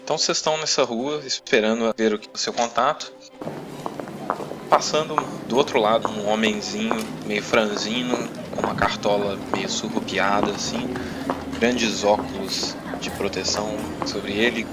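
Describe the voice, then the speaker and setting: A man's voice: lowish voice, fairly even intonation, normal speed, rough recording. A man with a Brazilian accent, narrating a tabletop roleplaying adventure as game master. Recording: music playing behind the voice. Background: music.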